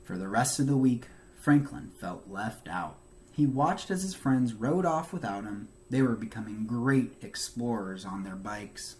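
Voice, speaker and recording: A man reading a children's book aloud as a teacher, with expressive, emphatic narration for young listeners. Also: mid-range voice, unhurried.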